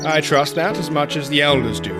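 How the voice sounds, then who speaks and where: An actor playing a genial aging father in an audio drama, speaking with wry, courtly calm. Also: a little high, a little fast, lightly acted. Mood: calm, brisk. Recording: loud music under the voice.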